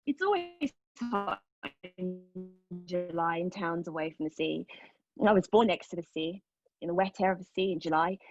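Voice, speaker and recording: A haunted young woman played by an actress delivers an intimate theatrical monologue in a husky voice. Her delivery is halting and intense, moving between hushed confession and sharper emphasis. The sound is close and dry, though a little dull and lo-fi. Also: fairly low pitch, lively intonation, no echo, soft background music. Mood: bitter, halting, then sensual, dreamy. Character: haunted young woman recounting a painful memory. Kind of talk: dramatic monologue read at a table read of a play.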